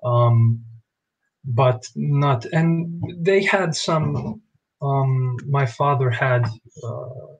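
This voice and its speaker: A young man from Bosnia, a guest answering interview questions over a video call in a relaxed, thoughtful way. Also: mid-range voice, drawn-out words, lo-fi sound. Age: young adult. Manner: relaxed, thoughtful, "you know" fillers. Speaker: basketball player guest. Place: small home room.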